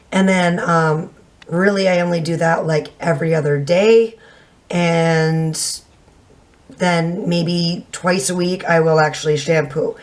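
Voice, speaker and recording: A woman in her thirties, slightly hoarse from little sleep, giving a frank, chatty hair dye review to a camera at home. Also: on the low side. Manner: frank and chatty, casual swearing. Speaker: beauty vlogger. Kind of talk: casual product review to camera. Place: home room with shelves, indoors.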